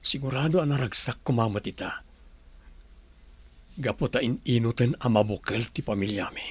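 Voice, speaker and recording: Man playing a husky, remorseful devoted husband. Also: medium pitch, normal speed, dry recording, natural voice. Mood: hopeful, earnest. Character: earnest, remorseful husband devoted to his ailing wife. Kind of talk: radio drama acting.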